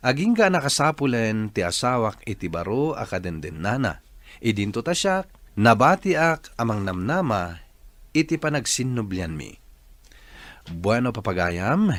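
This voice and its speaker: A man's voice: mid-range voice, dry recording. A man hosting a radio drama, reading a scripted introduction with dramatic delivery. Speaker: radio drama narrator and letter-reading host.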